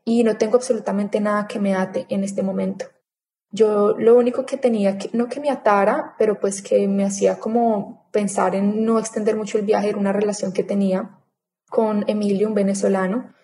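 A woman in her thirties telling her own story in a casual interview, chatty and animated, acting out her past thoughts as quotes. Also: mid-range voice, moderate pace. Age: 30s. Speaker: interview guest.

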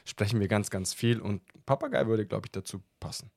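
Chatty man with a low voice. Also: fairly quick, vocal fry, a little mellow, no echo, clean studio sound. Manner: talkative, laughs often. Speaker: podcast host. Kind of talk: casual podcast chat.

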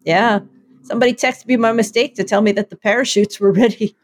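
Woman, podcast co-host, slightly high voice, jokey, dry close mic. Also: steady tone, fairly quick, no room sound. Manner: playful, laughs often, jokey asides. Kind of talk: casual chat.

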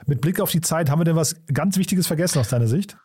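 A man's voice: a little high, expressive, fast, dark tone, breathy edge. A man hosting a podcast interview, chatty and full of short agreeing sounds. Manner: chatty, frequent back-channel affirmations. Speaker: podcast host.

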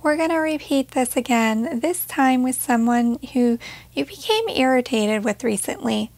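A woman leading a guided meditation in a gentle, measured way, leaving pauses between short phrases. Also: high-pitched, lively intonation, no echo.

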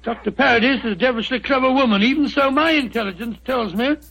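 An actor playing a blustering, sceptical middle-aged gentleman doctor in a 1940s radio drama. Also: very high voice, fast talker, vocal fry, exaggerated voice. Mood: intrigued. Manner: muttering, blustering, exclamatory. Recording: dull 1940s radio recording.

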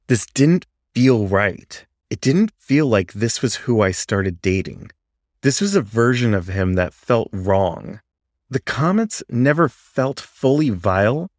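A man narrating a first-person story in a measured, expressive, dramatic way. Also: mid-range voice, no echo, studio quality. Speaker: audiobook-style story reader.

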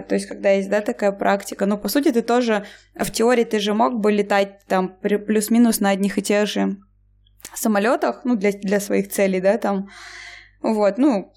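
A woman co-hosting a casual podcast, talking in a lively, rambling way, often laughing and restarting her sentences. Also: mid-range voice. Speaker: podcast co-host.

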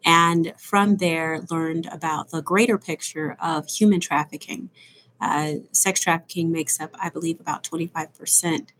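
Woman, lowish voice, measured and explanatory, remote call, faint hiss. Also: steady tone, a bit bright, rough recording. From born in Los Angeles, raised partly in Salt Lake City. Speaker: guest, company president. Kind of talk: remote interview answers. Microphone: video call.

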